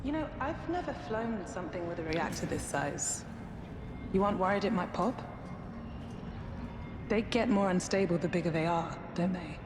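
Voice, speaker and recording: An actress playing a casual, teasing spaceship pilot in a game, informal and wry. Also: female voice, fairly low pitch, lively intonation, normal speed, pauses often, noisy background, natural voice. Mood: wry, doubtful. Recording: music clearly behind.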